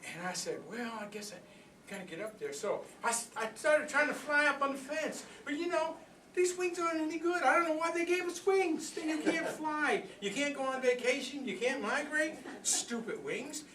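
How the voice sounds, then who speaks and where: A man's voice: very high-pitched, moderate pace, sharp tone, voice pushed up. Distant, noisy recording, older man as proud old rooster, folksy storyteller, earnest, rambling, philosophical, comic stage monologue. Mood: earnest, wondering. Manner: folksy, rambling, conversational storytelling with repeated phrases. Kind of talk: comic monologue performed live for an audience. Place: indoor workshop room before a group. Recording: echoey room, distant mic, background noise.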